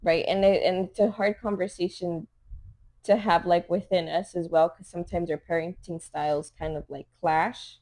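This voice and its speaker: A woman's voice: fairly low pitch, fairly even intonation, normal speed, a little mellow. A woman from Los Angeles, a mother of three, co-hosting a casual podcast and talking in an earnest, conversational way full of "like" and "you know". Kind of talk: casual chat.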